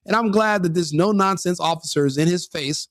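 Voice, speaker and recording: A man's voice: high-pitched, brisk, no echo, clean studio sound. A man giving crude, mocking commentary on a video, sneering and laughing often.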